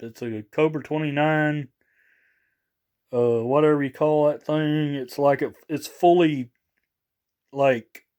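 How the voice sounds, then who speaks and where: Guy doing a casual show and tell vlog, real slow and rambly, kinda higher voice. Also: darkish tone, clear, resonant tone, highs cut off. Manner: rambling, halting, repeats himself. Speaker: vlogger showing radio gear. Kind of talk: casual product showcase.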